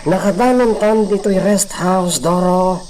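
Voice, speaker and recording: A man's voice: high-pitched, a little slow, darkish tone. A man with an Ilocano accent playing a deferential household servant in a radio drama. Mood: deferential, hesitant. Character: deferential household servant addressing the young master. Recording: noisy, distant from the mic.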